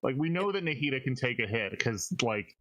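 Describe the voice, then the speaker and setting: Bright-voiced man chatting casually about games. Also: mid-range voice, big pitch swings, a little fast. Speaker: podcast co-host. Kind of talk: casual game commentary chat.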